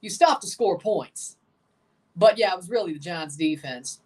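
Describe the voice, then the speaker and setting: A young man with a low voice hosting a livestream, giving blunt, opinionated hot takes while reacting to a soccer match and answering chat questions. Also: moderate pace, slightly rough, far from the mic, rough recording. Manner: excitable, jumps high in pitch when agitated.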